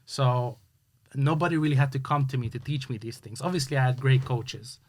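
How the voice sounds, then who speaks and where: A man talks through his story as an interview guest. His voice sits at an ordinary middle pitch. He speaks earnestly and halts often, with plenty of fillers and restarts. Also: brisk. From Hungary. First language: Hungarian. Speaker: guest, youth sports coach. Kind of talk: casual interview chat.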